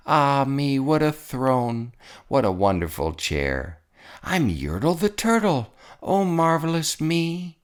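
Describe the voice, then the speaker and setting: Tyrant turtle king for a picture book, put on by a narrator: pompous, commanding, highly animated, unhurried. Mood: triumphant.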